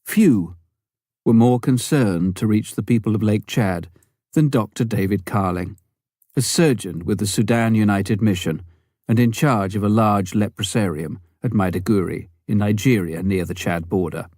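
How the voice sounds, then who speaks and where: A man narrating a written memoir as an audiobook reader, in a measured, deliberate way. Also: fairly low pitch, darkish tone, no echo, studio quality.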